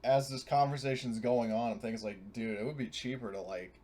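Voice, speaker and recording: A man's voice: mid-range voice, fairly even intonation, fairly quick, a little mellow. A man playing a tabletop game, chatting casually and thinking aloud in a rambling, sweary way.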